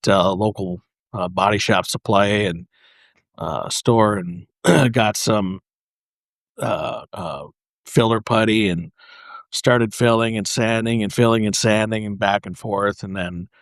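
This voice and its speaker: A man speaking as a podcast guest, explaining his craft casually in halting, piecemeal phrases with frequent pauses. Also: fairly low pitch, dry recording, studio quality. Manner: halting, pausing, piecemeal delivery. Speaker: podcast guest, custom paint artist.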